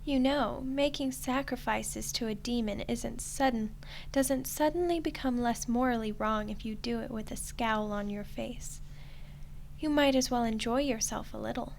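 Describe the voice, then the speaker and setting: A woman playing a smug, teasing archdemon in a roleplay ASMR style, soft and condescending, with an unhurried delivery. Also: on the high side, gravelly, a little hiss or hum. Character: smug, teasing female archdemon toying with a human ruler.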